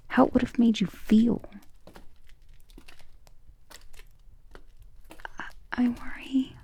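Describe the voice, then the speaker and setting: Woman acting breathy, creaky ancient vampire lady. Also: mid-range voice, warm tone. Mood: vulnerable, anxious. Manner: intimate, breathy half-whispers with sighs. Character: ancient female vampire lover, tender, protective, vulnerable. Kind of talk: romantic ASMR roleplay acting.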